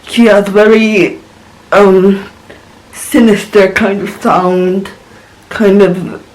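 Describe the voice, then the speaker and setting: A man's voice: high voice, flat delivery, drawn-out words, a little mellow, low-quality recording. A man vlogging a casual film reaction, rambling and searching for words as he gushes.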